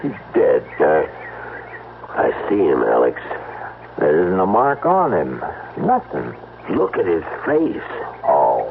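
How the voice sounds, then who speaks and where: An actor playing a stubborn, plain-spoken prairie farmer, grave and emphatic, in a 1970s radio drama. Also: male voice, deep voice, creaky, heavily acted. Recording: muffled, noisy old radio broadcast.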